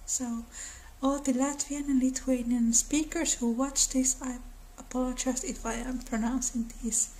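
A woman's voice: high-pitched, little pitch movement, poor audio. A woman in her thirties with a Finnish accent, hosting her own mythology channel and explaining folklore to camera in a casual, thoughtful way. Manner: slow, thoughtful, with soft laughs and small restarts. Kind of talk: casual educational talk to camera. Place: living room by a window.

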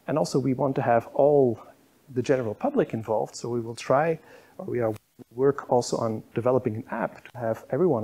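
A man's voice: mid-range voice, gravelly, mellow, husky. A middle-aged man giving a university public lecture in a lecture room, explaining slides with frequent fillers. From Belgium.

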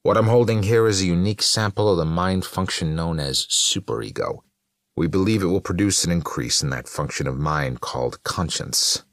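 Audiobook doctor , done by a male narrator: official, composed, measured delivery, slightly gravelly voice. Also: sits low, a bit bright, no echo, studio quality, voice pushed up. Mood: calm explaining. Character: male doctor running experiments, composed and formal.

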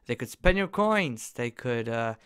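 A young man casually commenting while he plays a game on his computer, excitable and jokey, jumping from loud bursts to quiet mumbles. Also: medium pitch, a little slow, clear, resonant tone. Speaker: gaming YouTuber.

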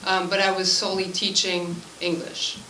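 A woman's voice: fairly low pitch, flat delivery, normal speed, pushed and bright. A woman in her forties from Switzerland, a native French speaker, informally introducing herself as a language teacher at a meeting. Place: school room at a committee meeting, indoors. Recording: echoey room, distant mic, background noise.

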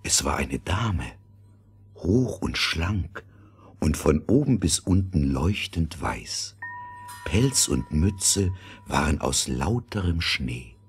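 A man narrating a scripted fairy tale in a dramatic, deliberate storytelling manner. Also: a bass voice, very animated, husky.

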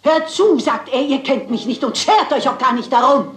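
Eccentric elderly lady detective, crime radio play. Higher-set voice, genteel and deliberate, theatrical, imperturbable. Roomy acoustic. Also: expressive. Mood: dramatic reciting. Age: older. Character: eccentric, unflappable elderly spinster amateur sleuth.

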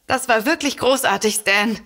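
A woman's voice: a little high, moderate pace, fairly bright tone. An actress playing a chatty, mildly scornful young woman author in a comedy mystery audio drama. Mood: admiring.

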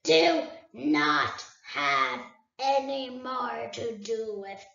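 A woman voicing a huge, cunning male dragon who drawls slowly and sneers. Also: a little high, lively intonation, vocal fry, pushed and bright, reverberant, muffled recording, exaggerated voice. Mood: menacing. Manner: slow, drawn-out, sneering speech.

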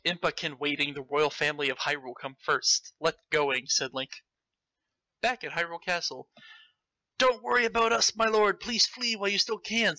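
Guy doing a mocking dramatic read of fanfic, really bright sharp voice, kinda high, dry sound. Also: no echo, poor audio. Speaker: host reading fan fiction aloud. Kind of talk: comedic dramatic read-aloud with commentary.

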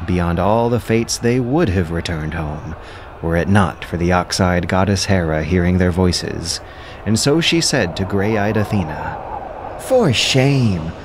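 Deep-voiced solemn male narrator over music. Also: background noise. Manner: measured, solemn. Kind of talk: dramatic audiobook narration.

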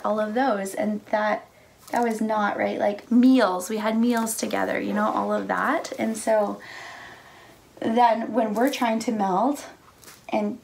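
A woman's voice: mid-range voice, vocal fry, slight background noise. A young woman from Canada and the United States talking casually about her family and faith on a living room couch, filling her speech with "like" and "right?". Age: young adult. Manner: chatty, lots of "like" and "right?". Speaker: church speaker.

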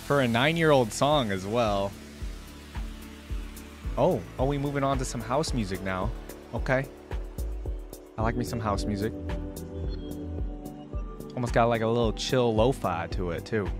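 A man in his twenties talks as a casual reaction host. His voice sits at an average male pitch, and his phrasing is loose, slangy and full of hedging. He is close on a desk microphone, with music playing prominently behind him. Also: a little slow, long pauses. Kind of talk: casual live reaction chat to viewers. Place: small indoor home streaming setup. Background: electronic music.

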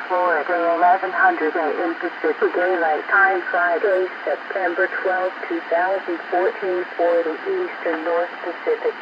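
A radio announcer reading a formal marine weather bulletin in a measured, impersonal way over shortwave single-sideband radio. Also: mid-range voice. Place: radio broadcast played through a small receiver speaker. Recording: noisy, muffled shortwave radio reception. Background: loud static noise.

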